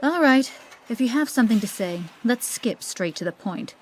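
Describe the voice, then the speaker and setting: A woman's voice: on the high side, lively intonation, normal speed, a little hiss or hum, natural voice. A woman playing a stern, authoritative city councilor in a fantasy video game, speaking formally and with command. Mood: dry, curt. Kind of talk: English video game cutscene acting.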